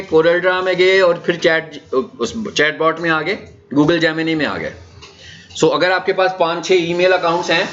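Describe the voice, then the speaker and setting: A man's voice: a little high, a bit bright. A man with a Pakistani accent giving an informal, conversational lecture as a trainer, explaining things and checking in with rhetorical questions. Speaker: digital marketing trainer. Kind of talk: informal lecture in an orientation session. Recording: dull, lo-fi session audio.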